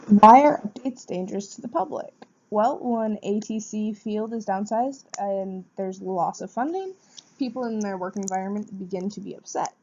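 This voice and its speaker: A woman's voice: mid-range voice, moderate pace, a little mellow, a little hiss or hum. A woman gives a prepared informative presentation in an explanatory way, posing questions and answering them. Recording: dull, muffled lo-fi sound.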